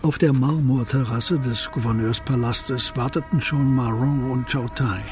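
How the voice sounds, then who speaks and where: A man's voice: mid-range voice, steady tone, unhurried. A man narrating a radio crime drama from a script as its storyteller. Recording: music behind the voice.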